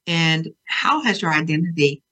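A woman's voice: low-pitched, animated, moderate pace, fairly bright tone. A woman hosting an interview, thanking guests and asking direct questions. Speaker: host.

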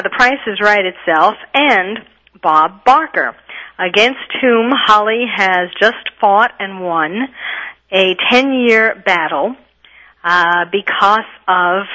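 A woman's voice: mid-range voice, bright, forceful tone, low-quality recording. A woman hosting a radio talk show as a psychiatrist, chatty and upbeat and laughing often.

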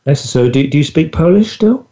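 A man's voice: a little high, expressive, normal speed, warm tone. A man hosting an interview podcast, chatting casually with plenty of fillers and restarts. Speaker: podcast host. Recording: dull lo-fi audio.

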